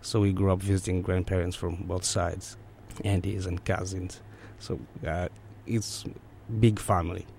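A man's voice: deep voice, level tone, a little slow, creaky, few pauses, a little mellow, a little hiss or hum. A man from Eastern Congo with a Central African accent, recounting personal memories as an interview guest.

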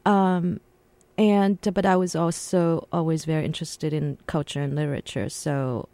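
A woman from Shanghai, China, speaking haltingly and reflectively as a guest on a radio interview, recorded in a radio studio. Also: sits low, steady tone, dark tone, no room sound. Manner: halting, reflective, many pauses and restarts.

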